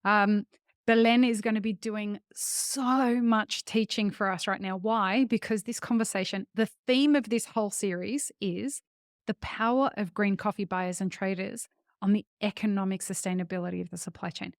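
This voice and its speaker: A woman's voice: medium pitch, steady tone, moderate pace, no echo. Chatty podcast host woman, dry sound. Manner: enthusiastic, addresses listeners as folks. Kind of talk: conversational podcast hosting.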